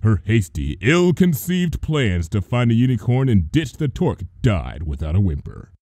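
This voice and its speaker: A man reading fan fiction aloud as expressive, dramatic story narration. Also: lowish voice, normal speed, dry recording.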